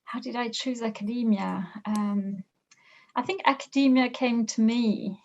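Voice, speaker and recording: A middle-aged woman from France with a French accent, a business professor answering interview questions thoughtfully, pausing often. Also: fairly high pitch, muffled recording. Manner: thoughtful, with frequent hesitations and restarts.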